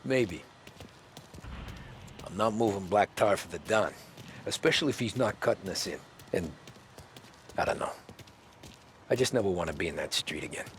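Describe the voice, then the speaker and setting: A man's voice: deep voice, expressive, normal speed, long pauses, fairly bright tone, slight background noise. An actor playing a cautious, world-weary American mobster and family man, terse and streetwise, in a crime game. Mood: brooding. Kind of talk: cinematic crime game acting. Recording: music behind the voice.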